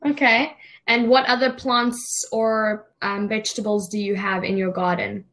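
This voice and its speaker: A woman's voice: mid-range voice, fairly even intonation, a little slow, fairly bright tone, poor audio. A young woman with a South African accent, an online English tutor asking simple questions in a casual video call. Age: young adult. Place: indoors in a room, on a video call. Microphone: webcam video call.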